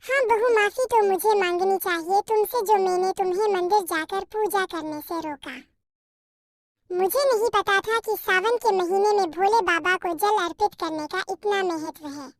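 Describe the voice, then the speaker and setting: A woman's voice: very high voice, normal speed, no room sound. A woman voicing an older mother-in-law bird in a cartoon, motherly and emphatic. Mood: remorseful. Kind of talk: Hindi moral-story cartoon dialogue.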